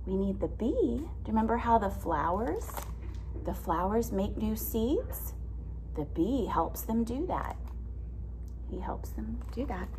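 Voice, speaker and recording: A woman in her thirties reading a picture book aloud as a teacher, deliberate and expressive, explaining simply. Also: a little high, slight background noise, rough recording. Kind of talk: children's read-aloud with simple questions. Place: classroom, indoors.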